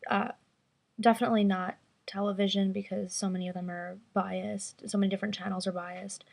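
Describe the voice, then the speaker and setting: A woman around twenty answers interview questions, pausing to think. She speaks in a flat, level tone with heavy vocal fry. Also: medium pitch. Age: 20s. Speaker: survey respondent.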